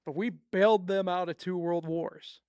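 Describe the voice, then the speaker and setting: A young man chats casually about sports with a friend, his voice smooth and resonant. He strings his thoughts together loosely with fillers and teasing. The sound is dry and close. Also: high-pitched, fairly even intonation, normal speed, darkish tone, dry recording. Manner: chatty, lots of "like" and fillers, teasing. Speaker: podcast co-host.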